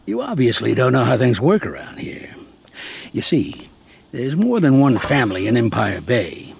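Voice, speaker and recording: An actor playing a shrewd, fatherly older American mafia consigliere with a gravelly, streetwise delivery in a video game. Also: mid-range voice, big pitch swings, moderate pace, full character voice. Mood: patient, explaining. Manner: streetwise, coarse, patiently explaining. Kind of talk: game cutscene acting.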